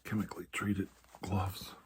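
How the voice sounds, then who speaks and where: A man plays a soothing doctor in a whispered ASMR roleplay. His voice is low and breathy, coming in short, halting phrases meant to reassure. There is some noise behind him. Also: level tone. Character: calm, reassuring male doctor soothing a patient. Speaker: ASMR performer playing a doctor.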